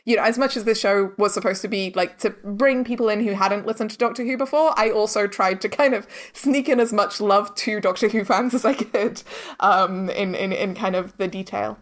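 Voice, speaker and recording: A woman's voice: medium pitch, normal speed. A woman speaking as a podcast guest in casual interview chat, effusive and earnest, often dropping "like" into her sentences. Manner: effusive, earnest, peppers speech with "like". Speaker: podcast guest, audio drama director and producer.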